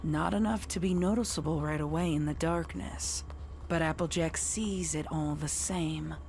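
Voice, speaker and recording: A woman reading dark horror fiction as scripted narration. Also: deep voice, moderate pace, slight background noise.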